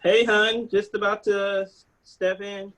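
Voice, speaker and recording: A man playing an anxious screenwriter in a table read, halting and hesitant as he speaks. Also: high-pitched, little pitch movement, fairly bright tone, poor audio. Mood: harried. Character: anxious screenwriter man pitching his action script to producers.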